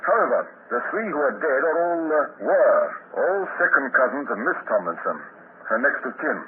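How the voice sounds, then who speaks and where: A man's voice: mid-range voice, big pitch swings, moderate pace, natural voice. A man playing a courteous, probing Scotland Yard inspector. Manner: courteous, probing, hesitant fillers. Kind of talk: 1950s radio drama acting. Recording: tinny hissy vintage radio broadcast.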